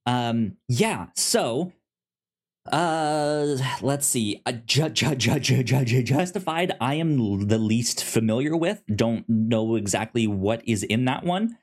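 Slow-paced man with a stutter, podcasting. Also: mid-range voice, expressive, a little mellow. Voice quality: pronounced stutter, repeating sounds and syllables. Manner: halting, agreeable. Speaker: podcast co-host. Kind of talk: casual podcast chat.

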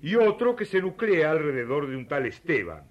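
Zealous, animated, high-voiced Argentine persecutor. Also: male voice, moderate pace, lo-fi sound. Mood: scornful, conspiratorial. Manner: emphatic, accusatory. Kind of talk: radio theatre acting.

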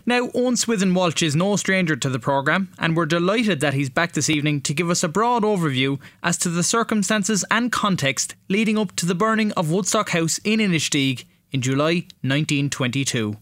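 Irish guy hosting radio, voice a bit high, dry studio sound. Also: animated, brisk, few pauses, fairly bright tone, no room sound. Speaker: radio show host. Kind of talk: scripted radio presenting.

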